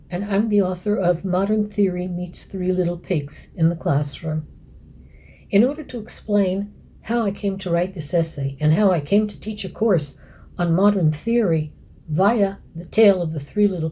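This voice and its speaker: Female academic, dark mellow tone with some husk, reading a scholarly essay aloud. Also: fairly low pitch, slightly rough, rough recording. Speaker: academic author and lecturer.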